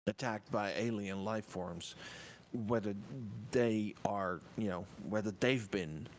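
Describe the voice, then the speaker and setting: Lowish guy at a game, stops and starts a lot, bit of noise behind him. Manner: halting, tentative. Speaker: tabletop game player. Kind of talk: casual game discussion.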